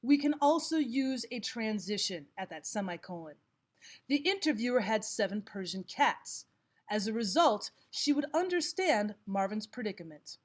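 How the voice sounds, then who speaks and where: A woman teacher giving scripted instructional narration, explaining and reading examples out deliberately. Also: on the high side, very animated. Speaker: language arts teacher.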